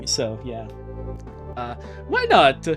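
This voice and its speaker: Animated man chatting on a stream, music behind. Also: mid-range voice, slow. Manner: giggly, breaks off with laughter and swearing. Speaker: streamer reading a fan comic aloud. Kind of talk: casual live stream chat and joking live reading.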